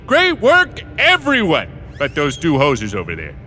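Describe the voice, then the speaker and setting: A man's voice: fairly high pitch, huge pitch swings, measured pace, pushed and bright, faint music behind, heavily acted. A man voicing a big, fake-friendly Canadian hunter with a folksy, chummy manner and a Canadian accent in an anime parody. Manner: folksy, chummy, tagging "eh" and "don't you know". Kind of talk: comedic anime parody voice acting.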